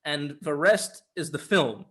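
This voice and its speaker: A man with a voice a little higher than most co-hosts a film review show. He talks informally and argues his opinions bluntly, with some swearing. The delivery is that of a casual discussion. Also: measured pace, fairly bright tone. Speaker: film review podcast co-host.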